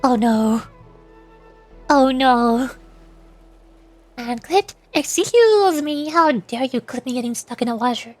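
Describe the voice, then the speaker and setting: High-voiced woman streaming a game. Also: lively intonation, slow, long pauses, a little mellow, dry recording. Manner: playful, mumbles aloud to herself. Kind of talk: casual livestream gameplay commentary. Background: game music.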